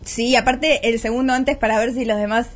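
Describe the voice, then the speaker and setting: Argentine woman radio cohost, high-ish voice, teasing and joking fast. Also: steady tone. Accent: Rioplatense Argentine Spanish. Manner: teasing, quick jokes. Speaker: radio co-host. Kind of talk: casual banter.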